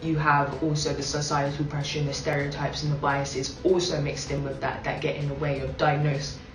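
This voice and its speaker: Low-voiced woman, measured and reflective. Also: flat delivery. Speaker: interviewee. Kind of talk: interview answers. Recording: echoey, distant mic, background music.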